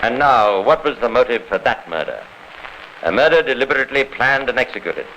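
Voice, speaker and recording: A male narrator in the style of 1950s radio crime drama. His voice is middle-pitched and sharp, with formal, rhetorical phrasing. There is a faint hiss of an old recording. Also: animated, brisk, poor audio.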